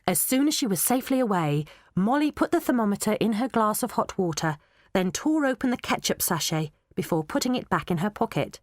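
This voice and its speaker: A woman narrating a children's audiobook in a measured, even way. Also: medium pitch, no echo, studio quality. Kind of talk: storybook narration.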